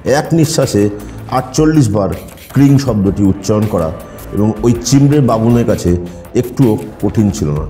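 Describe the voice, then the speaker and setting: Dark-voiced man narrating horror. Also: on the low side, expressive, normal speed, long pauses, echoey room. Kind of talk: dramatic scripted audio story narration. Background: music.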